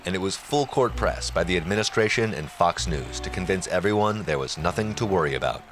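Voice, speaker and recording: Male documentary narrator, deep register, scripted read, prominent music bed underneath. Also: expressive, fairly quick.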